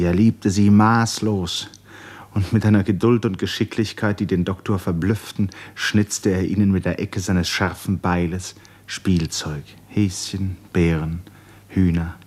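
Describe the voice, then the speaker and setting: Low-voiced male radio play narrator. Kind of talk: scripted literary narration, 1950s radio.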